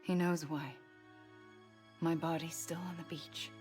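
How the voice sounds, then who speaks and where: An actress playing a gentle, ethereal young woman in a video game, speaking tenderly in a breathy near-whisper. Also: low-pitched, fairly even intonation, soft background music. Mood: soft, wistful. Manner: tender, unhurried. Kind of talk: video game cutscene acting.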